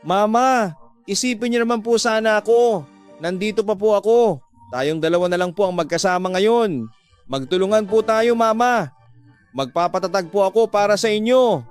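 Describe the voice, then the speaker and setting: Man playing a pleading tearful daughter, higher pitch. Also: normal speed, clear, resonant tone, faint music behind, lightly acted. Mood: earnest pleading. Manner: pleading, comforting, earnest. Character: devoted young adult daughter, tearful but steadfast.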